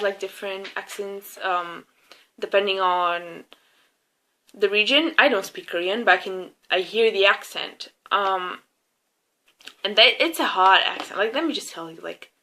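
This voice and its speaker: A woman's voice: mid-range voice, bright, forceful tone. A young woman giving a casual, opinionated movie review in an informal, gushing way, swearing freely.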